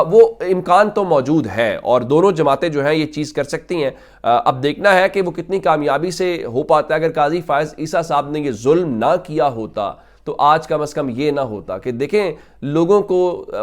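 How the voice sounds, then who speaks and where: Male political commentator, Pakistani accent. Voice sits a little high; emphatic delivery addressed straight to viewers, with rhetorical questions.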